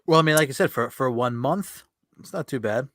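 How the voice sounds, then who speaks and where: A man's voice: mid-range voice, animated, brisk, fairly bright tone, dry recording, studio quality. A man hosting a podcast, interviewing in an informal, conversational way. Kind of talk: casual chat.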